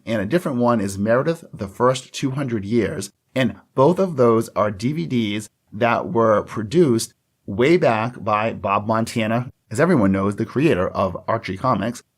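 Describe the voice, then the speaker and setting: Man, podcast co-host, casual and joking, mid pitch. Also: a little slow. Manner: chatty, joking, with laughs and restarts. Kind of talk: casual chat.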